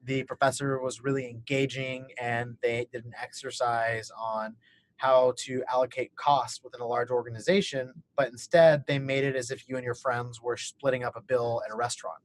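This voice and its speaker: A man's voice: mid-range voice, flat delivery, normal speed, low-quality recording. A young man from Kentucky, an MBA student on a webinar panel, telling his story in an upbeat, chatty way and laughing now and then. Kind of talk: casual webinar chat.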